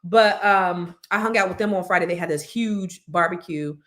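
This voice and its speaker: A woman hosting a live show, chatting excitedly to viewers; her voice is deeper than usual from cigar smoke. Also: moderate pace. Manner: chatty, excitable storytelling with many fillers. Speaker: host of a live money and news show. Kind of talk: casual chat to viewers.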